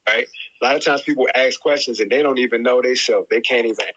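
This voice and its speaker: Measured middle-aged man, muffled audio. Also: medium pitch, fairly bright tone, a little hiss or hum. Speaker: resident psychologist. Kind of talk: casual advice chat.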